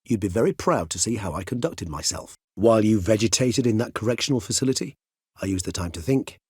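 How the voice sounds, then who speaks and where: A man voicing a centuries-old, scholarly vampire gentleman in a fantasy game, speaking in eloquent, formal, measured phrases. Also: fairly low pitch, animated, husky, no room sound, clean studio sound. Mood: composed, wry. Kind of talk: fantasy video game character dialogue.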